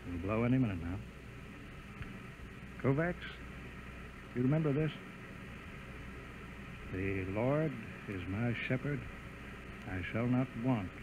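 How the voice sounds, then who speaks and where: An American actor playing a reflective, disillusioned military officer facing death in a 1950s radio drama. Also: male voice, medium pitch, lively intonation, takes it slowly, pauses often. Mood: weary, solemn. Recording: muffled, noisy old 1950s radio recording.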